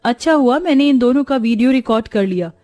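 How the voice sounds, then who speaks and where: A woman's voice: high voice, animated, fast, warm tone, no echo, faint music behind, voice pushed up. A woman voicing a loyal, anxious young servant woman in a moral story, earnest and deferential. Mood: shocked, worried.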